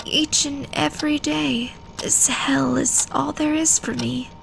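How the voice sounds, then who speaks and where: Woman voicing a slow, high, fragile schoolgirl. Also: fairly even intonation, creaky, noisy background, heavily acted. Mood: despairing. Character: bullied, despairing schoolgirl, timid and fragile. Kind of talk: anime-style manga dub acting.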